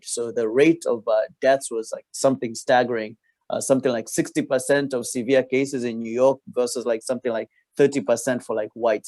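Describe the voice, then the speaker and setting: A man's voice: medium pitch, darkish tone, no room sound. A man, a radiologist, speaking over a video call in a hesitant manner, full of fillers and switching between Swahili and English. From Tanzania.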